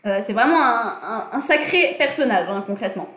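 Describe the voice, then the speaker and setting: A woman's voice: fairly high pitch, lively intonation, normal speed, a bit bright, roomy sound. A young woman hosting a casual livestream lesson, chatty and full of fillers and self-corrections, talking at her desk in a small room. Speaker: livestream host. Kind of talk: casual livestream talk on design and politics.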